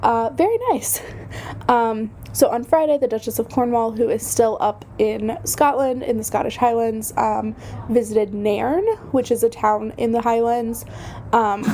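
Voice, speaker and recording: A young woman hosting a casual podcast, chatty and self-deprecating with lots of fillers, her voice slightly hoarse from a cold. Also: a little high, steady tone, a little slow, dark tone, slight background noise. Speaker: podcast host. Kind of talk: casual solo podcast chat.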